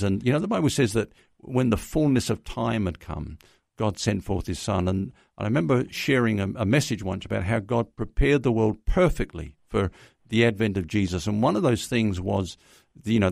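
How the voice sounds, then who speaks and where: Male pastor guest, low gravelly voice, dry studio mic, earnest preacherly tone. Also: dry recording. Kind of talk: studio conversation.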